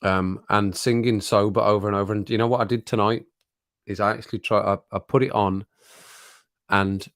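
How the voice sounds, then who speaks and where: A man hosting a casual live stream chat, rambling and laughing often, his voice a little croaky after a cold. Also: fairly low pitch, steady tone, fairly quick, fairly bright tone, no echo, studio quality.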